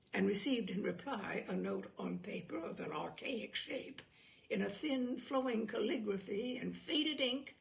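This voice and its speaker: A woman narrates literary fiction as a storyteller would, phrasing each clause deliberately and dramatically. Her voice is heavily creaked and gravelly, and its pitch moves freely up and down. She leaves expressive pauses as she reads. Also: poor audio. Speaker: storyteller reading a short story aloud.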